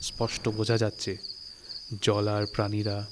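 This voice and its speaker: A man narrating a literary story in a dramatic, suspenseful way, with expressive phrasing and deliberate pauses. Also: on the low side, noisy background. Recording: studio recording with music. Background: music and night insect sounds.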